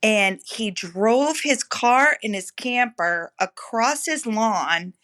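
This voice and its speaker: Middle-aged woman, podcast guest, bright clear voice, laughs a lot, lively anecdotes. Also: fairly high pitch, slow pace. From Manchester, New Hampshire. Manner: laughs often, lively storytelling. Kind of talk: casual podcast conversation.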